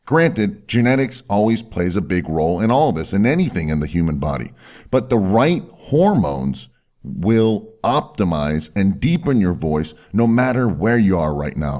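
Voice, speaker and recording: A man with a low voice that swings widely in pitch gives an instructional talk as a doctor hosting a health video. He leans on key words, slowing down and sinking his voice for effect. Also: darkish tone. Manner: emphatic, slows down and drops low on key words.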